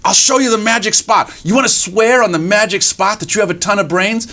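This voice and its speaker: A man's voice: sits high, brisk, bright, forceful tone, voice pushed up. A man voicing a tiny, cunning mouse in a folktale, with coaxing, mock-innocent questions. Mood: coaxing, sly. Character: tiny, cunning male or female mouse, drily clever. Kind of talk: animated live folktale storytelling.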